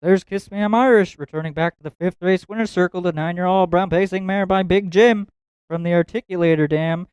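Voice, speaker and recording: High-voiced man calling a harness race. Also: steady tone, a little mellow, clear, resonant tone, no room sound, muffled recording. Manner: rapid, rhythmic listing of positions and times. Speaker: race track announcer. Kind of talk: live harness race call.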